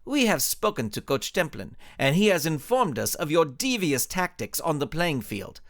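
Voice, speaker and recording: A man's voice: fairly high pitch, very animated, normal speed, a bit bright, no echo, clean studio sound. A man voicing a stern male superhero in a story, speaking with formal authority. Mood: accusing.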